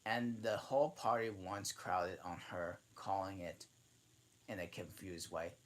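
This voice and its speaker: A man reading a children's classic aloud in a halting way, often restarting and correcting himself mid-sentence. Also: low voice, fairly even intonation. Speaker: channel host reading aloud.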